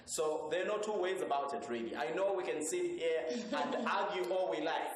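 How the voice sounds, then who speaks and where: A man's voice: high-pitched, expressive, moderate pace. A male talk show host speaking in an earnest, preachy way as he closes out a panel discussion. His voice rings through a roomy hall with a long echo, and a faint hiss sits underneath.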